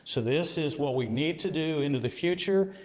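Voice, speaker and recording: رجل مرشح يخاطب الجمهور بجدية. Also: male voice, a little high, expressive, normal speed, darkish tone. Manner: earnest, speech-like, direct to audience. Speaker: county commission candidate. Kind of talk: debate answers.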